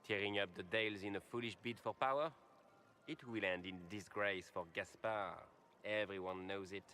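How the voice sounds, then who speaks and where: An actor playing a refined, world-weary aristocratic courtier in a fantasy video game. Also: male voice, fairly low pitch, fairly even intonation, normal speed, sharp tone, a little hiss or hum. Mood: disdainful. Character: jaded, genteel aristocratic male courtier. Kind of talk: dramatic video game acting.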